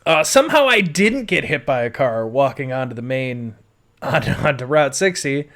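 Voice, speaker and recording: A man's voice: mid-range voice, expressive, moderate pace, a bit bright. A man with an American accent telling personal stories casually, rambling informally and swearing. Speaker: podcast host.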